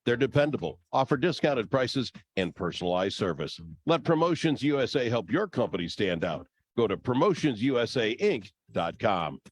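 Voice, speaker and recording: A man's voice: medium pitch, very animated, normal speed, hardly any pauses, breathy edge. A man reading a scripted radio advertisement as an announcer. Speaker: commercial announcer.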